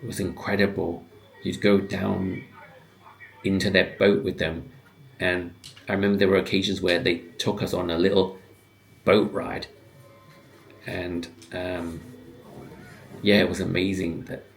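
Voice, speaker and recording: A man in his fifties, born in Hong Kong and raised in the West, giving reflective, unscripted commentary in a halting, musing way with pauses mid-sentence. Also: deep voice, little pitch movement, creaky, soft background music. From born in Hong Kong, grew up in the West, living in the UK. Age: 50s. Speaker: video host giving commentary.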